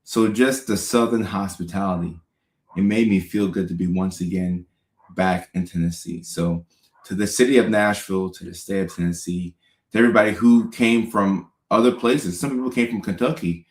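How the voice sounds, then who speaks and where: A man in his thirties, a comedian born in Ghana, hosting a casual livestream, upbeat and repeating his thanks and shout-outs to viewers. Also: low voice, moderate pace. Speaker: comedian and show host. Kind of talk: casual livestream chat reading out viewer comments. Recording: roomy echo.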